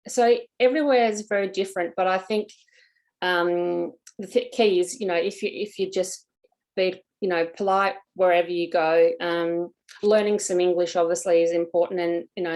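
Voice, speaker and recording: Woman, slightly low pitch, halting delivery, informal advice, panel speaker. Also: a little mellow.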